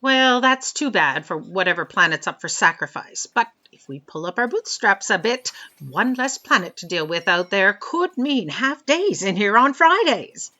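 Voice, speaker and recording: A woman's voice: medium pitch, lively intonation, moderate pace, hardly any pauses, bright, forceful tone, muffled recording. A woman playing a bossy, pompous, dismissive office boss in a comedic audio drama. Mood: breezy, imperious. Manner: imperious, dismissive. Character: bossy, pompous female boss of Destiny's bureau.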